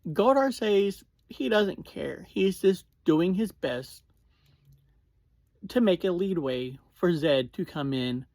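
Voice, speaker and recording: A podcast host gives a casual, conversational review at a slow pace. The delivery is halting, broken into short phrases. The voice sits at a medium pitch and is recorded close and dry, with very little room sound. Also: expressive, a little mellow, no room sound. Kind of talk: casual episode review and recap.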